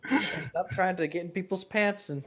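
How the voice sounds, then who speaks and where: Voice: mid-range voice, normal speed, a crowd in the background. A co-host casually chatting and reading fan fiction aloud in a wry, joking way. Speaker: podcast co-host. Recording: dull, lo-fi microphone sound.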